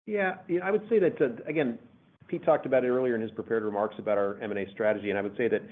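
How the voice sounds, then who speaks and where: A man's voice: medium pitch, very fast, phone-quality sound. A male finance executive giving unscripted answers on an earnings call, often restating his phrases as he goes. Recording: boxy phone conference line.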